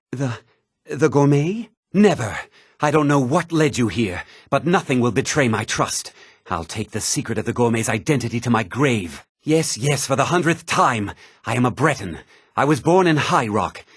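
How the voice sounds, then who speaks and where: An actor playing a cowardly, anxious informant in a fantasy game, with a pleading manner. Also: male voice, medium pitch, slightly rough, no echo, clean studio sound. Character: nervous, cowardly male informant pleading for himself.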